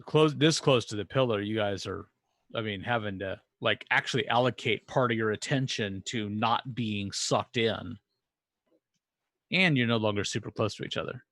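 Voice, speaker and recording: A man's voice: on the low side, moderate pace, fairly bright tone, no room sound, studio quality. A man acting as game master, casually explaining rules and improvising narration for a tabletop game.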